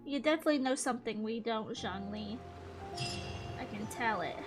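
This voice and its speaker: A woman hosting a gaming video with casual, chatty commentary, thinking out loud as she plays. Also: fairly high pitch, animated, moderate pace, over loud music.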